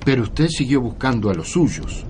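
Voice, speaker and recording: An actor with an Argentine accent playing an old, earnest scriptwriter who narrates and questions in a radio drama. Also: male voice, mid-range voice, big pitch swings, normal speed, darkish tone, slight background noise, close to an everyday voice. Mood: puzzled. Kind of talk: radio drama acting and storytelling.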